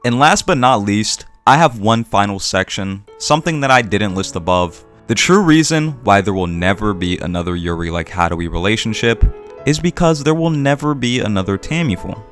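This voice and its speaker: Guy with a lowish voice doing a video essay, earnest and emphatic, bit of music under it. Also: lively intonation, normal speed, a bit bright. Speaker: video essayist, channel host. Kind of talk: scripted opinion video essay narration.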